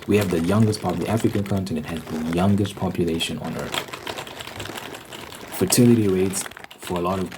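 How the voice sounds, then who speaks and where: A low-pitched speaker delivers a casual ASMR ramble full of opinion. The delivery is emphatic, circling back to restate key points. Noticeable noise runs behind the voice. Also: a little fast, pauses often. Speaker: host. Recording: clearly noisy background.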